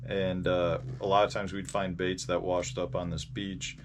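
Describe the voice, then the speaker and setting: A man with an American accent and a fairly low voice talks casually to his viewers as a vlog host. He rambles a little and pauses as he goes, unscripted. Clear ambient noise sits behind his voice. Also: flat delivery. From Indiana, United States. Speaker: host of a fishing channel. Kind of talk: casual vlog storytelling about fishing gear.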